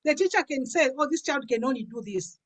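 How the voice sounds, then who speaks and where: A woman's voice: high-pitched, animated, fairly quick. A woman pastor, giving a personal testimony in conversation, stressing points by repeating them. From Africa. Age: 50s. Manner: emphatic, repeats phrases for stress.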